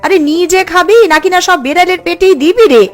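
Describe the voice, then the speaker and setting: A woman voicing a sharp-tongued village mother who scolds but cares, in a cartoon. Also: very high voice, fairly quick. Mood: scolding. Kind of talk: Bengali cartoon dubbing.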